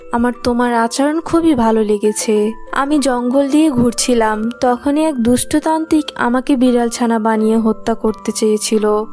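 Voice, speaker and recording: A woman voicing a kind, graceful fairy queen of the forest in an animated fairy tale, speaking graciously. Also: fairly high pitch, close to an everyday voice.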